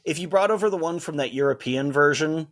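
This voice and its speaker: A man's voice: fairly high pitch, a little fast, fairly bright tone. A man co-hosting a casual podcast, chatting with nostalgic enthusiasm and restarting his sentences often. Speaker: podcast co-host. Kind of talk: casual chat.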